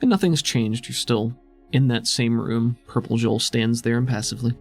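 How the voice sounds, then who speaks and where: A man's voice: mid-range voice, moderate pace, gravelly, soft background music. A man narrating a tabletop game as game master in a dramatic storytelling style.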